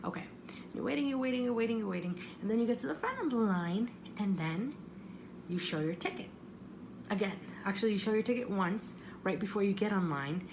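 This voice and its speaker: Female vlogger, casual and digressive, gravelly fry, self-interrupting, with clear background noise. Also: mid-range voice. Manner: self-interrupting, repeats phrases for emphasis. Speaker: vlogger giving audition advice. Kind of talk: casual rambling advice chat.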